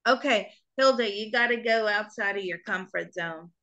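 A woman hosting a live call-in show as a psychic reader, talking in an animated, coaxing way and laughing often. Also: mid-range voice, moderate pace.

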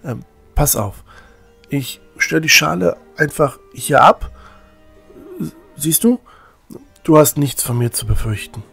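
A man playing a kindly, pious protector in an audio drama, with a husky voice and a friendly, reassuring manner. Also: fairly high pitch, slow pace, pauses often, fairly bright tone, soft background music, heavily acted. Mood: apologetic, reassuring.

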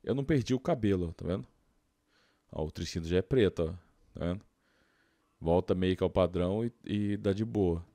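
Casting: Brazilian male, low register, dry close-miked sound, informal slangy game commentary. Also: a little fast, long pauses, mellow, no echo. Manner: informal, slangy, thinking aloud. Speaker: gaming YouTuber. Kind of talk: casual gameplay commentary.

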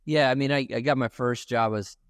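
A man's voice: mid-range voice, fairly even intonation, brisk, clear, resonant tone, dry recording, clean studio sound. A man in his forties from Ukraine, Russian his first language, answering questions earnestly as a podcast guest in an office. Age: 40s. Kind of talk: casual interview. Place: bright office with a lounge set.

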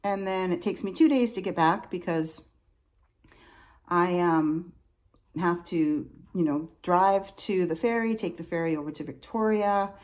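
A middle-aged woman with a lowish voice talks casually into a phone propped on her kitchen table. She rambles and circles back on herself as she explains her daily routine. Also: a little slow, darkish tone. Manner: chatty, rambling, self-interrupting. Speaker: lighthouse keeper. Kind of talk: casual vlog chat to camera. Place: home kitchen indoors. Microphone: phone camera on a table.

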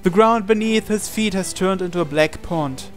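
A man reading a visual novel aloud as a dramatic narration, with deliberate pauses. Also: high-pitched. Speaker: gaming channel host. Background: game music.

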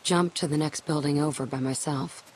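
A woman's voice: low-pitched, steady tone, moderate pace, a little mellow, slight background noise, close to an everyday voice, poor audio. A woman playing a hardened, guarded young survivor in a video game drama, speaking somberly and bluntly. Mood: grim, regretful.

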